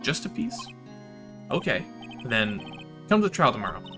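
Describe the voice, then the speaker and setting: A man's voice: medium pitch, very animated, moderate pace, music in the background, close to an everyday voice. A man voicing a beleaguered young lawyer in a game, wry and brooding. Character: beleaguered young male lawyer, dry and weary.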